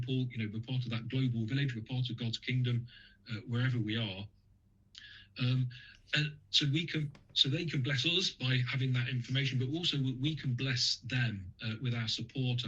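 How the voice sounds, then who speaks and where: A man's voice: medium pitch, a bit bright, breathy edge, muffled recording. A man from the UK speaking as a guest on a radio interview, talking in a hesitant way with many fillers and restarts.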